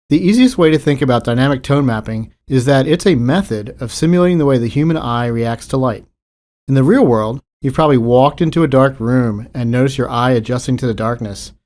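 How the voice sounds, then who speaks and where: Mid-pitched man explaining technical details. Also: a little mellow. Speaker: game developer. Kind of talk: scripted technical commentary.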